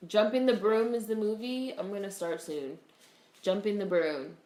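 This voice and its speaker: A woman hosting a casual livestream, greeting viewers in a relaxed, chatty way while congested with a cold. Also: medium pitch, moderate pace, roomy sound. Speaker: livestream host.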